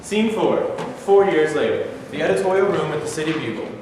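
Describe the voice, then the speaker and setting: A man's voice: a little high, a little fast, a little mellow. A young man reading scripted stage directions at a staged reading, recorded in a rehearsal studio. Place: mid-sized rehearsal studio, far camera. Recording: echoey hall, far from mic, faint noise.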